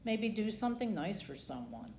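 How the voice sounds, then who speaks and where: A woman's voice: mid-range voice, sing-song, moderate pace, darkish tone, slight background noise. A woman reading a picture book aloud for children at storytime, theatrical and leaning on the colourful words. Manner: theatrical, emphasizing alliterative words. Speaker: library storytime reader. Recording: roomy, echoing sound.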